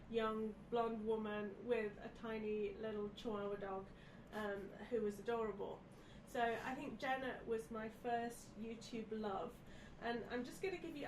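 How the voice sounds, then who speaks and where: A woman's voice: on the high side, steady tone, normal speed. A woman, snuffly with a head cold, chatting casually as a podcast guest. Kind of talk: casual chat from notes. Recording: echoey, distant mic, clear background noise.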